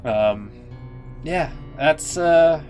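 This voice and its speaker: A man's voice: fairly high pitch, expressive, very slow, a little mellow, faint music behind. A man giving casual, informal live-stream commentary on a game, musing aloud and hesitantly.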